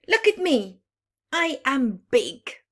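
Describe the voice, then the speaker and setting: A woman's voice: sits high, sing-song, slow, a bit bright, voice pushed up, heavily acted. A woman voicing a small, boastful young male wolf pup in a children's storybook, eager and stubborn, declaring boldly that he can lead.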